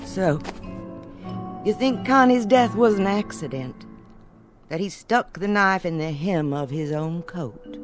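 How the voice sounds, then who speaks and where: An actress in a radio drama playing a sharp, composed woman who questions in a measured, pointed way. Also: on the low side, animated. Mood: cool, challenging. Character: sharp, composed woman coolly dismantling men's explanation. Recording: music bed under the dialogue.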